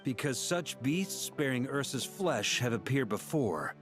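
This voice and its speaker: A man's voice: mid-range voice, sing-song, measured pace, faint music behind. A man playing a solemn, eloquent knight in a video game, telling lore in a formal storytelling manner. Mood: solemn, courteous.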